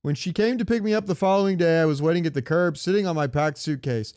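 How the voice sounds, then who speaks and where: Clear-voiced man in casual joking podcast chat. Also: a little high, fast, darkish tone, no room sound, studio quality. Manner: profane, joking. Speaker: podcast co-host. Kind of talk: casual comedic podcast chat, reading out answers.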